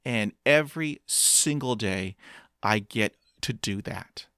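Guest, man in his fifties, stutters, motivational, slow and deliberate, dry close sound. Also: lowish voice, sharp tone, smooth, no echo. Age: 50s. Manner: stutters with repeated sounds and blocks; upbeat, motivational, repeats phrases for emphasis. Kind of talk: interview conversation.

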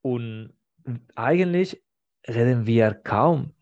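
A man's voice: mid-range voice, expressive, a little mellow, dry recording. A man whose first language is Spanish, hosting a podcast in German, speaking thoughtfully and hunting for his words. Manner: thoughtful, halting, searching for words. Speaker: podcast host. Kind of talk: casual interview chat. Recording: dull, dry, muffled.

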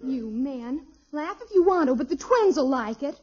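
A woman's voice: sits high, expressive, normal speed, darkish tone. An actress playing a sweet, doting young American wife and mother in a girlish, cooing 1950s radio comedy style. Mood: pouting. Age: young adult. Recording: muffled, noisy 1950s radio recording.

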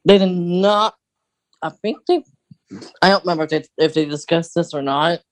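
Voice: mid-range voice, normal speed. A podcast co-host chatting casually and speculating as a fan, often hesitating and thinking aloud.

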